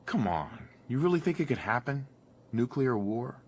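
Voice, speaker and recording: A man playing a weary army veteran and young family's husband in a video game, speaking in a low-key, dry way. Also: very animated, moderate pace, a little hiss or hum. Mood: skeptical. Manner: grave when narrating, dry and low-key at home. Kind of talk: cinematic video game voice acting. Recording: loud music behind the voice.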